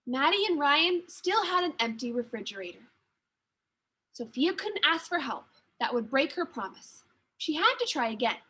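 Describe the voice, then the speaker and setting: A woman teacher reading a children's story aloud with expressive, deliberate narration. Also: sits high, poor audio.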